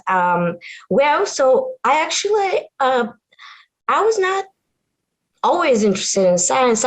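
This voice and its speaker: An earnest woman with a Bulgarian accent tells her own story as a guest physics lecturer. She is animated and often restarts her sentences. Her voice sits in a middle pitch and comes through a video call. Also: rough recording.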